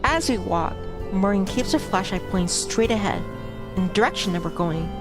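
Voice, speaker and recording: Brief: woman streamer narrating a game, mid-pitched voice, chatty asides, soft music under her. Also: lively intonation, moderate pace. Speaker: VTuber streamer. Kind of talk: reading a visual novel aloud. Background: background music.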